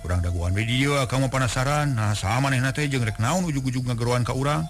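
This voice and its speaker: A man voicing a friendly, talkative young village man in a told folk tale, speaking in an eager, lively way. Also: mid-range voice, fast, faint music behind, lightly acted. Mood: easygoing.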